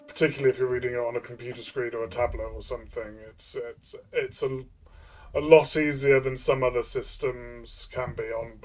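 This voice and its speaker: A man co-hosting a role-playing game review, explaining things in a casual conversational style. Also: medium pitch.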